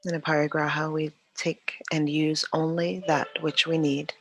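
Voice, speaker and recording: A yoga teacher leading a guided meditation in a calm, soothing, unhurried way with long pauses. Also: medium pitch, faint music behind, rough recording.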